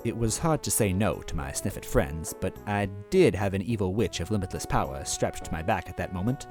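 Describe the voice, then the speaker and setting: A man's voice: low voice, animated, a little fast, darkish tone, faint music behind. A man narrating a first-person story expressively as a reader.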